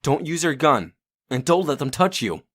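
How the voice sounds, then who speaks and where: A man's voice: on the high side, very animated, normal speed, a bit bright. A man playing a streetwise young survivor who guides a newcomer in a dubbed comic drama. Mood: urgent, cautioning.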